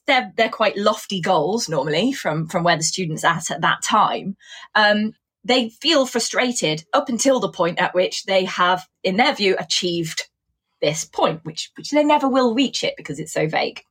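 A woman's voice: medium pitch, expressive, a little slow, a bit bright, slightly rough. A woman who teaches English, talking in a casual interview, with a mixed English accent that still holds traces of Coventry. She explains her points thoughtfully and often says "you know". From England.

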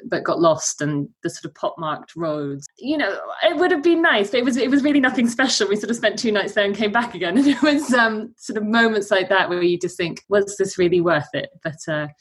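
A woman's voice: medium pitch, expressive, fairly quick, hardly any pauses, husky. A British woman in her forties, a travel blogger, chatting as a podcast guest in an easy, anecdotal way with frequent fillers. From Britain. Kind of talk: conversational interview.

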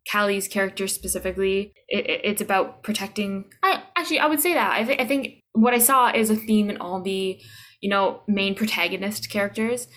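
A woman's voice: medium pitch, a bit bright. A woman chatting casually as an interview guest, with emphatic repeats and checks for agreement.

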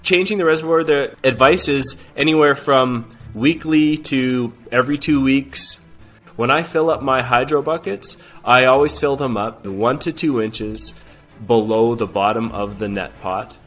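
A man's voice: mid-range voice, unhurried, fairly bright tone, soft background music. A man appearing as a podcast guest, explaining hydroponic growing in a confident, instructive way. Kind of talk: explaining growing methods in conversation.

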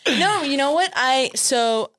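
A man whose first language is Greek, hosting a podcast with energetic, joking talk. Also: extremely high pitch, no room sound. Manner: energetic, joking, quick back-and-forth. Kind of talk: casual podcast conversation.

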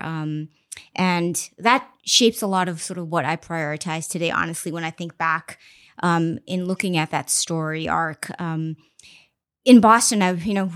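A woman investor giving a candid, reflective podcast interview, often pausing with ums. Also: fairly low pitch, a bit bright, smooth. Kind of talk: conversational interview. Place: studio interview.

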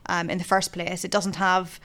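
Woman, fund analyst; low, even, smooth voice; steady explainer with fillers. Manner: measured, with frequent ums. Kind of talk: casual business discussion.

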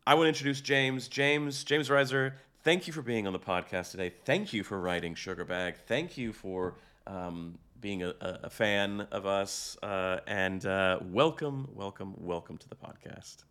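A man hosting a podcast in a conversational interviewing style, haltingly repeating phrases as he goes. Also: lowish voice, expressive, a bit bright, smooth. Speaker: podcast host.

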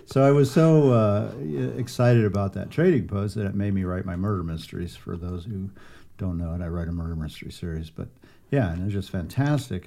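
A man's voice: fairly low pitch, warm tone. A man hosting a podcast interview, chatty and teasing his guest in a friendly way, often restarting his sentences as he rambles. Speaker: podcast host and gallery owner.

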